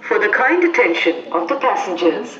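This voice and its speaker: A woman's voice: medium pitch, sing-song, very slow, recorded from a distance. A woman railway station announcer making scripted public announcements in a formal, stitched phrase-by-phrase delivery over station speakers. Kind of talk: scripted public train announcement, repeated in three languages. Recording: echoey station PA from afar, muffled, crowd.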